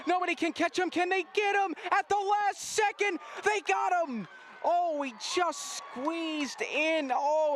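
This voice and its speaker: High-voiced man calling live rugby. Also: slight background noise. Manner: excitable, builds to shouts at big plays. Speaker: play-by-play commentator. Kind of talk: live rugby sevens commentary.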